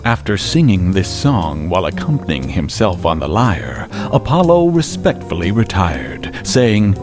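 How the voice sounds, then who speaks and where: A man narrating a radio drama as a theatrical storyteller, with measured, dramatic phrasing. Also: sits low, very animated, music in the background.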